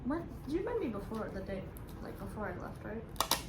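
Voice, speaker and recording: A woman's voice: medium pitch, sing-song, moderate pace. A young woman, a podcast guest, chiming in with short replies and jokes in casual chat. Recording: echoey room with clear noise.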